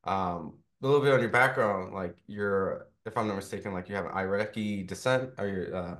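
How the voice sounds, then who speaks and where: A man's voice: lowish voice, moderate pace, a bit bright. A man interviewing a boxer in a casual way, full of fillers and frequent "right?" tags. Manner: chatty, filler-heavy, keeps asking "right?".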